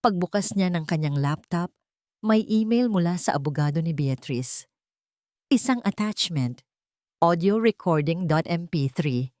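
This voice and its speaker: A woman's voice: fairly low pitch, animated, a little slow, darkish tone, no room sound, studio quality. A woman reading a dramatic love story as a scripted audiobook narration.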